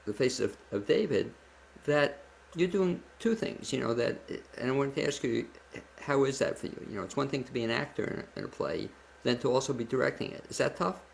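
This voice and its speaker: A man's voice: medium pitch, expressive, brisk. A man hosting a casual, chatty interview, asking rambling, loosely phrased questions. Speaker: interview host. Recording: clearly noisy background.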